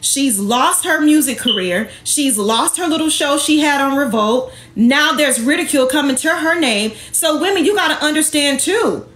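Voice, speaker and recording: A woman's voice: high-pitched, expressive, normal speed, hardly any pauses, fairly bright tone, echoey room. A woman speaking emphatically and colloquially to her online followers, sassy and confrontational, firing off rhetorical questions in an impassioned livestream talk. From Jamaican heritage. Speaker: online host and women's empowerment speaker.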